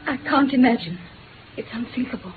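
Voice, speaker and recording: Actress as high-voiced, anxious English wife, old radio. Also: female voice, animated, a little fast, narrow, tinny audio, close to an everyday voice. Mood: distraught, hopeful. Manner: emotional, earnest. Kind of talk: 1950s radio drama acting. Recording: thin, noisy old radio recording.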